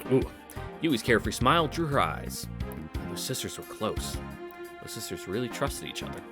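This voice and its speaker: A man reading a visual novel aloud as a let's-play host, breaking off into casual asides. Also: sits low.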